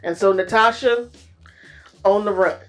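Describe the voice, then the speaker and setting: A woman with a mid-range voice tells a movie plot in a loose, chatty style, slangy and lively, tossing in playful exclamations. Soft music plays underneath her. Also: medium pitch, a little slow. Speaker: movie reviewer. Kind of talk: casual movie recap.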